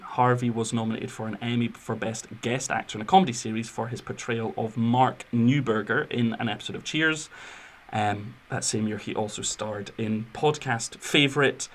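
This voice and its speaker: A man's voice: mid-range voice, moderate pace. Chatty man hosting a film podcast. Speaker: podcast host. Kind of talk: semi-scripted film podcast chat with biographical narration.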